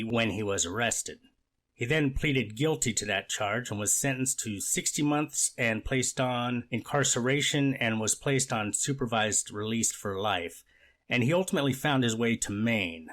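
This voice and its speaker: A man playing a deliberate, fact-minded judge in a courtroom readers theater, speaking in a formal, measured and slightly halting way. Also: mid-range voice, a bit bright. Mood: measured, questioning. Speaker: judge reading and questioning counsel.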